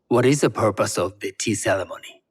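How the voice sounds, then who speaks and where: Low-voiced, composed tea master. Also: male voice, breathy edge. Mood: instructive, composed. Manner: measured, formal, guarded. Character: composed, shrewd, formal Japanese tea master and merchant. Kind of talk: video game cutscene acting.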